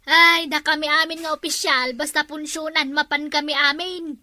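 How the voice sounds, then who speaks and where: A woman's voice: sits high, fairly even intonation, moderate pace, pushed and bright, no echo. A woman with an Ilocano accent playing a chatty village official in a comedy drama.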